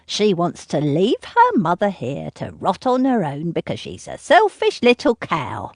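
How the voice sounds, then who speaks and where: A woman's voice: sing-song, a little slow, no room sound, clean studio sound. A woman voicing a cruel, self-pitying, volatile middle-aged mother who berates and pleads by turns. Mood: berating. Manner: shrill, berating, swinging to sobbing pleas.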